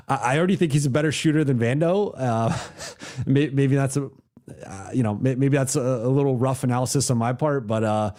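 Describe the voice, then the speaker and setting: A man's voice: medium pitch, warm tone. Stuffy-voiced man losing his voice. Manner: halting, with frequent restarts and "like" fillers. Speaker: basketball reporter hosting a livestream. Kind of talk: casual live reaction, reading super chats.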